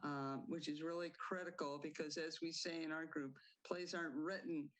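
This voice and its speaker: Playwright, woman, measured answers, guest on local TV. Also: low-pitched, fairly even intonation, fairly bright tone, rough recording. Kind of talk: interview answers.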